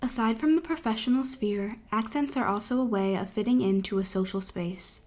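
A woman's voice: fairly high pitch, normal speed, a little mellow. A woman narrator reading a scripted, explanatory documentary piece.

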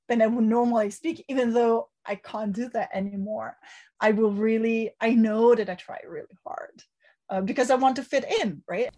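A woman's voice: on the high side, darkish tone. Reflective woman professor from Italy. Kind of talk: reflective interview talk.

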